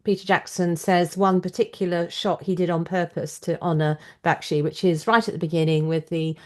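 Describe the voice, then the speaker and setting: A woman's voice: on the low side, little pitch movement, normal speed, a little mellow. A woman hosting a conversational podcast interview.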